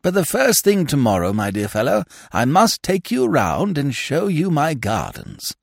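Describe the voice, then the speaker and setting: A man's voice: on the high side, huge pitch swings, measured pace, creaky, no room sound, clean studio sound, pitched above the performer's own voice, heavily acted. A man voicing a fussy, absent-minded old lord in an audiobook, with a stammering, blurting way of talking. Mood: eager, regretful.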